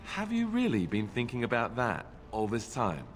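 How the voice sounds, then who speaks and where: A man's voice: medium pitch, wildly swooping pitch, normal speed. A man playing a wry, teasing warrior companion in a fantasy video game, with a dry, sarcastic delivery. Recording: reverberant hall, game music underneath.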